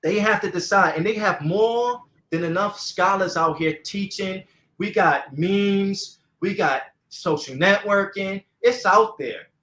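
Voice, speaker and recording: High-voiced man in an echoey room. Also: measured pace, sharp tone. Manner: earnest, seeks agreement. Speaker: host. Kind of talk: casual conversational talk. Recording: roomy echo.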